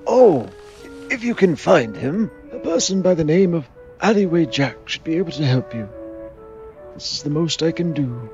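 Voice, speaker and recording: A man's voice: a little high, big pitch swings, normal speed, a little mellow, muffled recording, faint music behind. A man playing a kindly, helpful ticketmaster who gives friendly advice in a theatrical audiobook. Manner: friendly, advising. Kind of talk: theatrical audiobook character acting.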